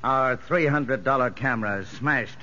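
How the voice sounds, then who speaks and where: Forceful, scolding prison captain played by a man. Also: medium pitch, normal speed, a little hiss or hum, lightly acted. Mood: exasperated. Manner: stern, scolding. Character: exasperated, sarcastic prison captain. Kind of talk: 1950s radio drama acting. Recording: muffled vintage radio broadcast.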